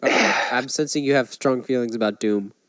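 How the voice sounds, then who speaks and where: A man's voice: medium pitch, moderate pace, fairly bright tone, clean tone, no room sound. A man co-hosting a podcast, talking casually about films in an opinionated, emphatic way. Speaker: podcast co-host. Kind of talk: casual film discussion.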